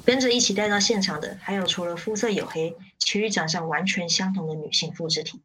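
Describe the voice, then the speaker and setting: A woman's voice: lowish voice, brisk, fairly bright tone, a little hiss or hum, natural voice, poor audio. A woman playing a jaded riot-squad member who narrates a grim radio drama in the first person with detachment. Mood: matter-of-fact.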